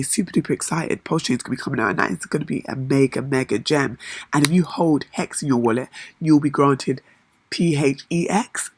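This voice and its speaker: Brief: woman vlogger, voice gone hoarse and husky, eager chatty delivery, close dry sound. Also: sits low, dry recording. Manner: chatty and enthusiastic, with frequent fillers and repetitions. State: hoarse, lost voice. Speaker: crypto vlogger. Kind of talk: casual talk-to-camera vlog.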